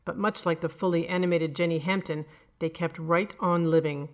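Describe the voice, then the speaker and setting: A woman's voice: on the low side, steady tone, moderate pace. A woman reading a scripted horror story as narrator. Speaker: audio fiction narrator.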